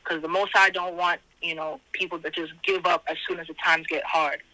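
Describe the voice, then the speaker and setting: Bright, high-voiced man teaching scripture by phone. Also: little pitch movement, fairly quick, no echo. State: slightly hoarse. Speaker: Bible study speaker. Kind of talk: informal scripture teaching, reading verses aloud. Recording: tinny conference phone line.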